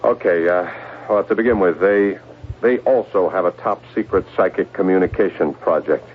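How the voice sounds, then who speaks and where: An actor playing a troubled, fearful man on a secret project in a 1970s radio drama, speaking haltingly. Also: low-pitched, husky. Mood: anxious, confessing. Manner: halting, hesitant, repeating words. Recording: tinny, noisy old radio broadcast.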